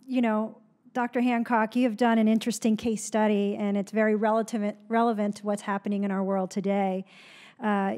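Soft-spoken woman host with handheld mic. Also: medium pitch. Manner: soft, short affirming reactions. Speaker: interview host. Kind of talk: moderating a panel. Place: small office with an armchair. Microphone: handheld mic held close.

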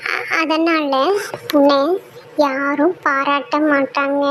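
A woman as a high, childlike talking clay pot. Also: a little hiss or hum. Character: boastful, scolding good clay pot, later sorry. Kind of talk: children's moral story acting.

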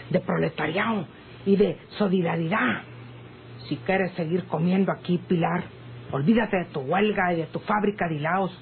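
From a tinny old radio recording with faint noise, an actress plays a country Mexican servant woman. She is sharp-tongued and folksy in a mid-pitched voice. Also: natural voice. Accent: rural Mexican. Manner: folksy, scolding.

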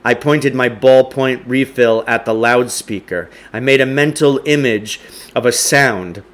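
A man's voice: mid-range voice, measured pace, a bit bright. A middle-aged man reads a story aloud in a home living room. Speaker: teacher reading aloud. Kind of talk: audiobook-style story reading. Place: indoors, home living room with wood stove.

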